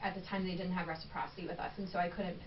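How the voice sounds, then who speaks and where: A woman's voice: lowish voice, little pitch movement, rapid-fire. A woman talking casually as a podcast guest, chatty and candid with plenty of ums. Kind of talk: casual conversational interview. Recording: echoey room, distant mic, dull sound, faint noise.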